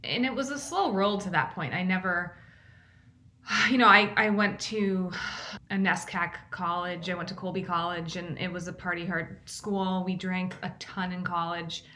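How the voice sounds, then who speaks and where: A bright, clear-toned woman in her late thirties. She co-hosts a podcast and talks quickly and casually, often laughing and restarting her sentences. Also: lowish voice, fairly even intonation. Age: 30s. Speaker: podcast co-host. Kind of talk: casual chat.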